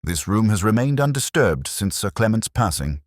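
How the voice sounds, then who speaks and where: A man voicing a keen, analytical Victorian gentleman detective in a story, precise and probing in manner. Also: fairly low pitch, very animated, moderate pace, no room sound, clean studio sound, voice pushed up.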